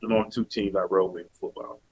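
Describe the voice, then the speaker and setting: Earnest Southern man with a low voice. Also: fairly even intonation, fast talker, darkish tone, slightly rough, low-quality recording. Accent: Southern US (Alabama). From Mobile, Alabama, US. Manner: earnest, preachy, emphatic. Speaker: podcast guest. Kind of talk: casual interview talk.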